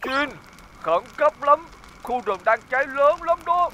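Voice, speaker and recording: A man's voice: very high voice, expressive, unhurried, a little hiss or hum, exaggerated voice. A man voicing an anxious, forgetful talking vehicle in a cartoon dub, wondering aloud and exclaiming. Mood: alarmed. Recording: loud music behind the voice. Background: loud music.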